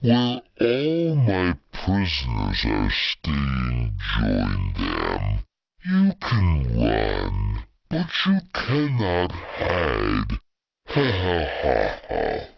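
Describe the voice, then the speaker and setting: Horror drama monster, menacing, taunting, low creaky growl, muffled lo-fi recording. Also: male voice, huge pitch swings, no room sound, exaggerated voice. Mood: gloating menace. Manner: slow, taunting, sing-song threats. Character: menacing dark entity posing as mocking daddy hunter. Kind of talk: amateur horror drama voice acting. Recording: dull, lo-fi recording.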